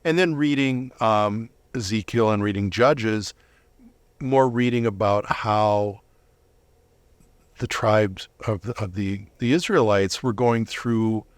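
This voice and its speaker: A man's voice: lowish voice, slow, clear, resonant tone, no room sound. A man giving earnest, explanatory answers as a guest in a conversational interview. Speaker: interview guest, volunteer Bible reader.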